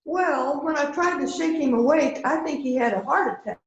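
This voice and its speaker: A woman's voice: sits high, moderate pace, heavy reverb, lo-fi sound, a little hiss or hum. A woman playing a courteous, composed housewife suspected of murder in an amateur mystery play. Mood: polite, innocent. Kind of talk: amateur readers theater mystery acting.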